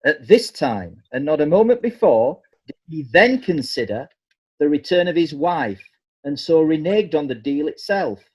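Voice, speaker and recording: A man's voice: on the high side, sing-song, normal speed, darkish tone, breathy edge, poor audio. A man playing a medieval court lawyer who makes indignant, accusing arguments.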